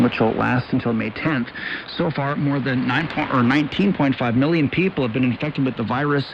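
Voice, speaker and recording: A male news reader delivers a scripted bulletin in a mid-pitched voice. It comes through a shortwave radio, muffled and with clear noise over it. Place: radio broadcast heard through a shortwave receiver.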